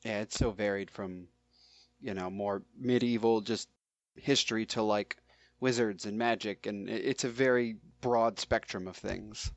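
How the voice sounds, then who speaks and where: A man's voice: lowish voice, fairly even intonation, measured pace, no room sound. A man chatting casually as a podcast guest, on a slightly lo-fi microphone. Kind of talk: casual chat.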